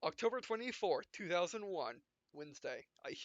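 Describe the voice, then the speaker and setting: A man doing a casual, halting read-aloud of a visual novel for a gameplay video, speaking punctuation and speaker tags as he goes. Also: high voice, sing-song, no echo. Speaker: let's player reading visual novel text aloud. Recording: dull, lo-fi sound with music behind. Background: game music.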